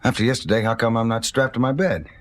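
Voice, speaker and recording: An actor playing an injured astronaut colonel in a 1970s audio drama, talking in a gruff, bitter and defiant way. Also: male voice, mid-range voice, fast talker, lightly acted. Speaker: lead character.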